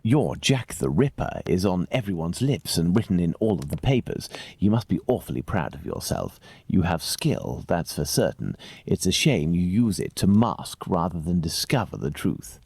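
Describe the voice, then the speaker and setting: A gentleman detective from a mystery game, with an English accent. His voice is low and slightly rough, rising and falling in lively fashion as he lays out things precisely and formally. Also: moderate pace, few pauses, no echo, full character voice. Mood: probing. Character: keen, methodical male gentleman detective, coolly self-assured. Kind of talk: video game detective dialogue.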